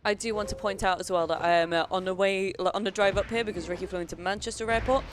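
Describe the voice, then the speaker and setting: A woman's voice: mid-range voice, fairly even intonation, fast. A woman hosting a speedrun marathon, reading donations and bantering in a playful, chatty way. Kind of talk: casual live hosting banter. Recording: clearly noisy event room.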